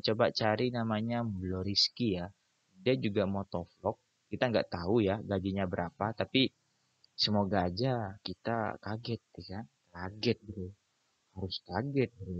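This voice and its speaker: A young man with a fairly low voice talks informally to his viewers. He repeats phrases as he goes, in a casual screen-recording style. The sound is dry and somewhat dull, recorded close up. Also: no echo. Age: young adult. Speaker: YouTuber reviewing channel earnings. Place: small room indoors at a computer.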